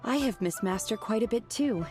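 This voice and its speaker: A woman voicing a calm, blunt young disciple in a video game, speaking in a deadpan, plain way. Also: on the high side, moderate pace, faint music behind, close to an everyday voice.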